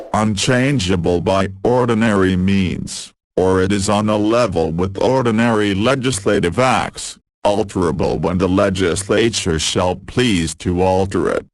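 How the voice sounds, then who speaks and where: A man reading quotations as scripted narration, in a measured and formal delivery. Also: sits low, no echo.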